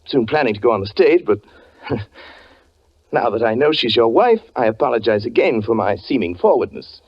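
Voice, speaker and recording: An actor playing a suave gentleman occultist with menacing charm, courtly and over-polite in 1940s radio drama style. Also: mid-range voice, very animated, moderate pace, slightly rough. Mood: courteous, smooth. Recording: muffled 1940s radio recording with music.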